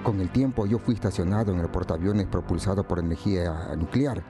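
An actor playing a grown man who looks back on a hot-tempered youth, narrating a scripted radio drama in a measured, reflective way. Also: deep voice, music in the background, lightly acted.

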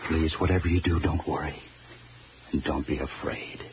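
An actor playing a patient, loving middle-aged father and husband, earnest and halting, in old-time radio drama style. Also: extremely low pitch, steady tone. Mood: tender, soothing. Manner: earnest, gently reasoning, halting. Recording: dull old radio recording, faint noise.

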